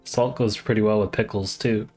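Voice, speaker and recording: Very low-voiced woman streaming a game. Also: steady tone, normal speed, music in the background. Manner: wry, joking asides. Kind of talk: casual playthrough commentary.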